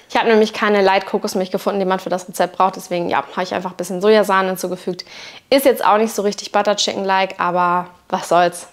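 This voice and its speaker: A woman, chatting casually and colloquially as she narrates a home vlog on a clip-on mic. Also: mid-range voice. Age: 20s. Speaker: vlogger. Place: indoor room at home. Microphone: clip-on wireless lapel mic.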